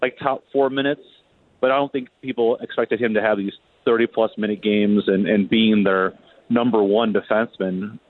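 Reporter guy phoning in, lowish voice, tinny echoey line, talking sports, kinda halting and repeating himself. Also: steady tone. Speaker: hockey reporter, interview guest. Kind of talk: conversational sports analysis. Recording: thin phone line, roomy echo.

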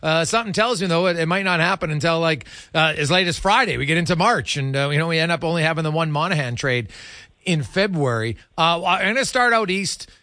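A male sports host with a very bright, forward voice pitched a little high. He talks in a conversational interview style, and the sound is close and dry. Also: animated, brisk, no echo.

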